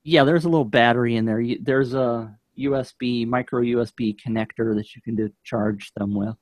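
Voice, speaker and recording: A man in his fifties speaks as a guest on a tech podcast. His voice sits at a medium pitch, recorded close and dry, and he reviews gear in a casual, chatty way. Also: steady tone, a little fast, dry recording. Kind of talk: casual chat, product review. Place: home office indoors. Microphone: studio microphone on shock mount, close up.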